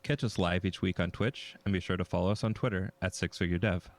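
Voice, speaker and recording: Deep-voiced male podcast co-host. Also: fairly even intonation, fast talker. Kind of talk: scripted podcast outro.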